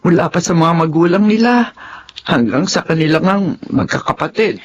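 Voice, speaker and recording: An actress playing an elderly, stubborn and sentimental lifelong housemaid in a radio drama. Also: female voice, on the low side, animated, normal speed, highs cut off. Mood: troubled. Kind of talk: Tagalog radio drama acting. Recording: music under the voice.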